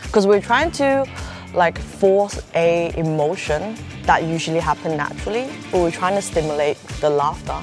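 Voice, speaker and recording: A young adult woman from Hong Kong talks about taking up stand-up comedy in a loose, chatty way. Her voice sits a little low, and music plays clearly under her. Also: normal speed. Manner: relaxed, chatty, laughs easily. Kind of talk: interview to camera.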